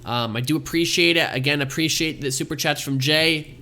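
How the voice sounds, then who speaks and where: A man's voice: on the high side, a little fast, sharp tone. A young man hosting a casual live stream, rambling and thanking viewers often while his voice wears out. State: losing his voice. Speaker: livestream host.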